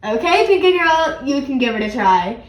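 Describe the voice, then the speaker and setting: A woman reading the part of a gentle, supportive mother in a children's storybook, in a reassuring way. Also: high-pitched, sing-song, moderate pace, roomy sound, distant mic.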